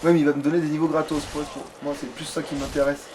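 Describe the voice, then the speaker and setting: High-voiced casual male gamer, distant mic. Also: level tone, rapid-fire, darkish tone. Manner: swearing, colloquial, joking. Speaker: let's play gamer. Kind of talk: casual gaming commentary. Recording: distant mic, noisy background.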